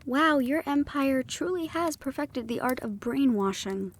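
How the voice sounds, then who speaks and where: A woman's voice: sits high, expressive, unhurried. A woman voicing a defiant young female thief in an audio roleplay, teasing and sardonic, sometimes dropping to a whisper. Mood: bitter.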